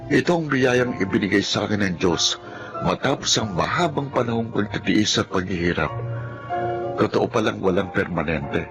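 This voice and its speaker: A man's voice: on the low side, lively intonation, moderate pace, music in the background. A man acting an anxious, grateful orphaned nephew in Filipino radio-style drama, earnest and emotional, with a Filipino accent. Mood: solemn, reflective.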